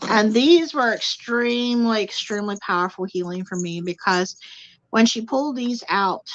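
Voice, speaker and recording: Animated woman guest, dull dry sound. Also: medium pitch, moderate pace, no room sound. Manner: animated, repeats words for emphasis, speaks to viewers directly. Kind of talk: casual spiritual chat.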